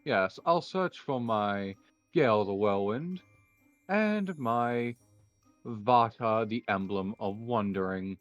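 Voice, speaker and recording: A man improvising an anime card-duel roleplay, calling out his moves in a deliberate, mock-formal way. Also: on the low side, lively intonation, no echo, highs cut off, soft background music.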